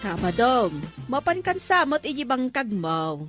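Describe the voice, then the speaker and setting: A woman's voice: on the high side, very animated, measured pace, loud music behind the voice. A woman playing an exasperated village councilwoman and creditor in a comedy audio drama, complaining with an Ilocano accent.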